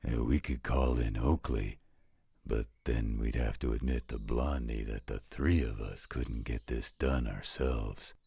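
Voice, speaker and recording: Man voicing a gravelly, rock-bottom werewolf stepfather. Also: extremely low pitch, steady tone, mellow, dry recording, full character voice. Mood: dry, gruff. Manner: gruff, dry, unhurried. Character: gruff, patient older werewolf stepfather. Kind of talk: scripted roleplay audio drama.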